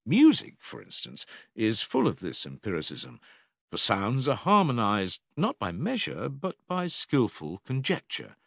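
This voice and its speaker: An actor playing an older philosopher in an audiobook dialogue, a patient, probing teacher with measured, rhetorical and emphatic delivery. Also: male voice, mid-range voice, wildly swooping pitch, gravelly, no room sound, clean studio sound, exaggerated voice. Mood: explanatory.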